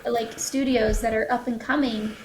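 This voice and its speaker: A woman with a fairly high voice talks casually in an interview, enthusiastic and emphatic about her points. The room around her adds clear echo, and she speaks as a creative producer guesting on a show. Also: steady tone, normal speed, slight background noise. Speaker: podcast guest, indie audio drama producer. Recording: roomy, echoing voice.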